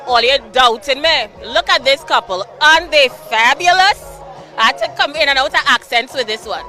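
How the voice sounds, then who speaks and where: A Trinidadian woman interviews partygoers with a playful, teasing ease. Her voice sits high and sounds bright. A crowd murmurs and music plays behind her. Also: expressive, normal speed. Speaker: event reporter. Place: outdoor party.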